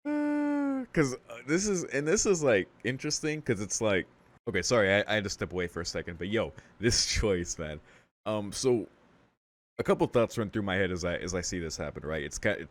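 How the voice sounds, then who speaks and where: A man's voice: on the low side, animated, moderate pace. A man hosting a visual novel playthrough, reading and reacting in slangy, profane casual talk, thinking aloud. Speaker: let's play host.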